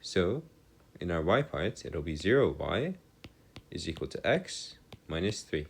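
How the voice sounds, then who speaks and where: Male tutor, deep voice, very varied intonation, informal lecture, formal wording, self-correcting. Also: big pitch swings, a little slow, gravelly, slightly rough. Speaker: maths tutor. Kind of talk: informal lecture working through equations.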